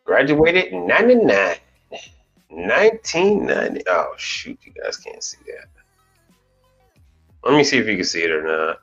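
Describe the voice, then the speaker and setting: A man's voice: mid-range voice, huge pitch swings, moderate pace, long pauses, fairly bright tone, husky. A man in his forties, a commercial appliance technician hosting a casual stream, rambling and swearing lightly as he restarts his sentences. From United States. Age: 40s. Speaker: commercial appliance repair technician and channel host. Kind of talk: casual live-stream chat. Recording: dull lo-fi sound, faint music behind.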